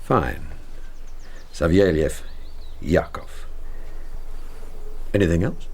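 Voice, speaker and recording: An actor playing a dry, patient British intelligence interrogator in a radio drama. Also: male voice, low voice, expressive, drawn-out words, heavily acted. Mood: probing.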